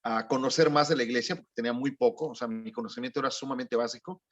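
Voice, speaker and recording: A man's voice: medium pitch, fast talker, fairly bright tone. A man, a former missionary, frankly recalling his past in a conversational interview. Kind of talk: candid interview recollection. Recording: dull lo-fi audio.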